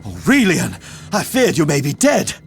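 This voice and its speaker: Earnest, higher-voiced army commander. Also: male voice, wildly swooping pitch, moderate pace, vocal fry, faint music behind, full character voice. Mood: solemn, concerned. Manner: solemn, declamatory. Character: earnest, resolute young Empire army commander. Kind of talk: heroic fantasy game cutscene acting.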